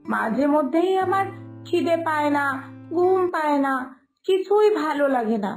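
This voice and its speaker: A woman's voice: high-pitched, animated, measured pace, soft background music. A woman voicing a caring, dutiful young daughter-in-law in a village cartoon story, soothing and coaxing. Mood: gentle, coaxing.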